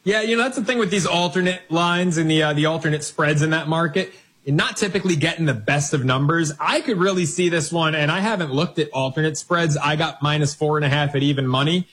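Husky, slightly high-voiced male betting host. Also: fairly bright tone. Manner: brisk, bantering, numbers-heavy. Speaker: sports betting radio host. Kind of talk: live sports betting radio hosting.

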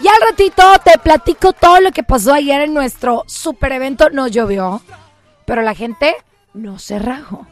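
High-voiced Mexican woman radio host. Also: normal speed, soft background music. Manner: playful, joking, shouting cheers. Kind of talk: lively radio show hosting. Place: radio studio booth.

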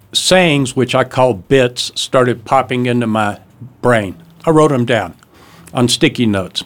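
An older man, an author, gives a radio interview in a studio, telling stories in a chatty way with hesitations. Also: medium pitch. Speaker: author and guest.

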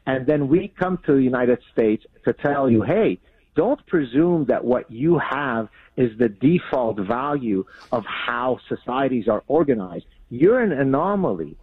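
A man's voice: medium pitch, sing-song, husky, no echo. A man from Lebanon whose first language is Arabic argues his case emphatically and fluently as a remote guest. His voice comes through a narrow, tinny phone line. The sound is close and dry, with no room in it. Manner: emphatic, fast-flowing, pointed. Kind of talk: remote interview, argumentative commentary.

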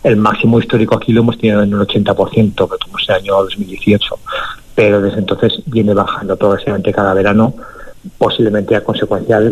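A man's voice: on the low side, husky, poor audio. A man who runs hotels, speaking in a radio interview clip and hesitating and repeating words as he works out his point. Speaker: hotel businessman.

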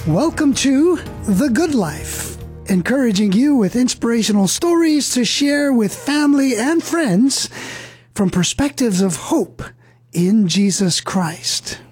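Woman host of a faith talk show: slow, earnest, gently preaching, breathy tone. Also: fairly high pitch, expressive, soft background music.

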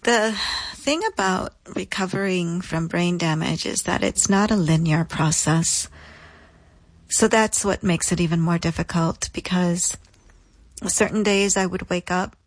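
Woman recounting her story, lowish dry voice. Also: no room sound, poor audio. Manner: deliberate, emphatic phrasing with repetition. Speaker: interview guest. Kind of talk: reflective personal storytelling in an interview.

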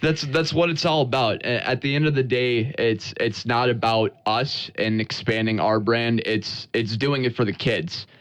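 A man with an American accent talking conversationally as a sports broadcaster interviewed on radio, earnest and halting, often repeating words. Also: mid-range voice, fairly bright tone, smooth, no room sound, poor audio.

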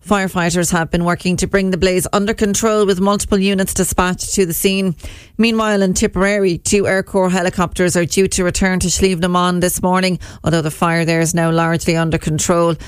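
A woman with an Irish accent reading a radio news bulletin in a measured, even way at a studio microphone. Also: on the low side, smooth, dry recording. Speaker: newsreader.

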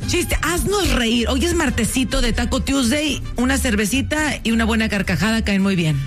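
A woman's voice: on the high side, expressive, moderate pace. A woman co-hosting a radio show, teasing and laughing in casual banter. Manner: playful, teasing, laughs often. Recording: music bed under voice. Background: music.

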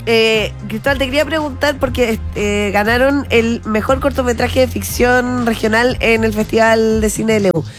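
A woman co-hosts the interview with brisk, casual questions. Her voice is a touch high, and soft music plays faintly in the background. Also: fairly even intonation. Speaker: co-host.

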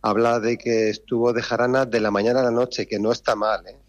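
A man's voice: medium pitch, flat delivery, brisk, highs cut off. A man, chatting on the radio and explaining a word with jokes. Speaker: radio word-of-the-week contributor. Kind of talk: casual radio chat. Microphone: studio microphone.

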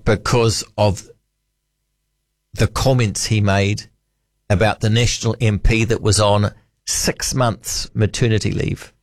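A man's voice: fairly low pitch, steady tone, takes it slowly, pauses often, no room sound. A man with a New Zealand accent hosting a late-night talk radio show, chatty and wry, musing aloud. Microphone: studio microphone.

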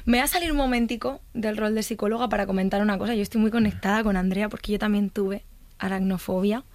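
Casting: lively woman, dry booth mic. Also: mid-range voice, quick pace, no room sound. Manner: upbeat, storytelling. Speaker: psychologist guest. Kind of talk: casual podcast chat.

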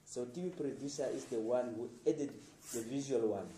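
A radio producer from Namibia talking informally to students, encouraging them with plain, repetitive sentences and restarts. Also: male voice, mid-range voice, fairly quick, mellow, roomy sound, far from the mic, a little hiss or hum.

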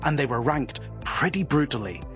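A man, a guest reporter, explaining at length in a steady, informed way on a podcast. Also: medium pitch, moderate pace, soft background music.

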